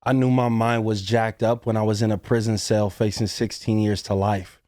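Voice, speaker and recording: Energetic motivational speaker man, dry sound. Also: lowish voice, moderate pace, no room sound. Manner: energetic, preacher-like motivational delivery. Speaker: guest, author and motivational speaker. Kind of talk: podcast conversation.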